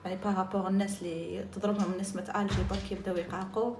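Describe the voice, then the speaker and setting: A woman's voice: medium pitch, flat delivery, rapid-fire, roomy sound, noisy background. A woman with an Algerian accent chatting casually on a live stream, jumping between topics and mixing in French and English words.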